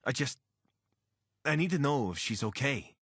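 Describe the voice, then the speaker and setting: An actor playing an anxious, wry deserter soldier and pilot in a video game, speaking in a conversational, earnest way. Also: male voice, medium pitch, expressive, normal speed, a bit bright, slightly rough, no echo, studio quality. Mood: earnest, regretful. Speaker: voice actor for a companion character. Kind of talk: video game character dialogue.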